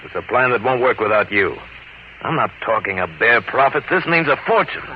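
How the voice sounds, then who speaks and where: An actor playing a bold, scheming sea captain who also narrates, in an old-time radio adventure style on a muffled recording. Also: male voice, medium pitch, animated, brisk, a little hiss or hum, close to an everyday voice. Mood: eager.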